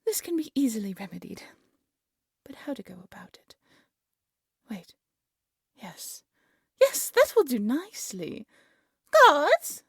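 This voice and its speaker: A woman's voice: high voice, huge pitch swings, slow, pauses often, a bit bright, husky, no echo, studio quality, pitched above the performer's own voice, full character voice. A woman playing a cruel, haughty evil queen in a fairy-tale audio drama, imperious and theatrical. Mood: scheming menace. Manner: imperious, menacing, theatrical commands.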